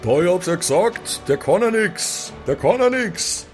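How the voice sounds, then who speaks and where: A man's voice: sits high, lively intonation, measured pace, faint music behind, voice pushed up. A man playing a belligerent, boastful one-eyed drunk with a Bavarian accent, blustering and repeating himself in an improvised audio game. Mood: smug.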